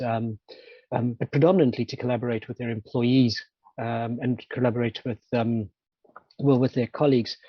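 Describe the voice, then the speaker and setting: Husky-voiced man, hesitant panel answer. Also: medium pitch, no room sound, highs cut off. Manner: hesitant, many "um"s and restarts. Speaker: webinar panelist.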